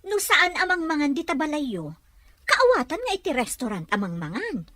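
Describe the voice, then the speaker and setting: A woman's voice: sits high, big pitch swings, moderate pace, pushed and bright, no echo, exaggerated voice. A woman playing a kindly, frank neighbor in an audio drama, asking sympathetic questions. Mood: concerned. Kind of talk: Ilocano audio drama acting.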